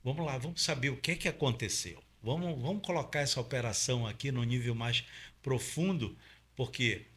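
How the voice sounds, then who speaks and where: A man's voice: medium pitch, normal speed, fairly bright tone, husky. A middle-aged man from Pará with a Paraense accent, a guest in an interview, explaining step by step with many fillers and tag questions. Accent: Paraense Brazilian Portuguese. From Pará, Brazil. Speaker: guest, oil industry safety trainer.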